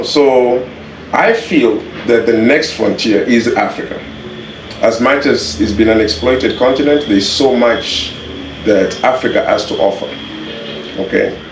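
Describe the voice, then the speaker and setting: A man with a Kenyan accent answering interview questions in an earnest, advice-giving way, repeating phrases for emphasis. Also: mid-range voice. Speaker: interview guest, property developer. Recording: echoey, distant mic, faint background noise.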